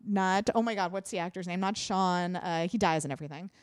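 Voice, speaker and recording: A woman's voice: fairly low pitch, brisk, clear, resonant tone, no echo, studio quality. A woman in her thirties co-hosting a podcast, chatting casually with some swearing. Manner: chatty, profane, laughs at her own slips. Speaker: podcast co-host. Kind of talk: casual chat.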